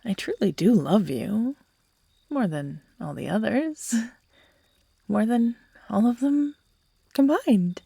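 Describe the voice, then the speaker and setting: Breathy, unhinged yandere girlfriend, acted by a woman. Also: medium pitch, mellow, no echo, heavily acted. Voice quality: breathy, often dropping into whispers. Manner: slow, halting, cooing, broken by giggles and sighs. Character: obsessive, unhinged yandere woman, tender then menacing to her captive. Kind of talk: intimate ASMR audio roleplay acting.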